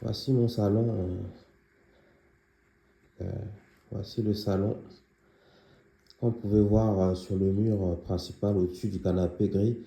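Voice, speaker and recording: A young man giving a casual tour of his apartment, pausing often with fillers as he walks around. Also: lowish voice, flat delivery, creaky, dark tone, reverberant. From Congolese heritage, living near Paris. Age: young adult. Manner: hesitant, many filler pauses. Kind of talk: casual home tour vlog. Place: small apartment indoors.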